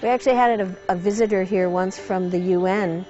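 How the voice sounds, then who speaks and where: A woman from Detroit speaks in a cheerful, animated way in a documentary interview. Music plays clearly beneath her, and the sound is slightly dull. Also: mid-range voice, brisk. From Detroit, USA. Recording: lo-fi with music bed.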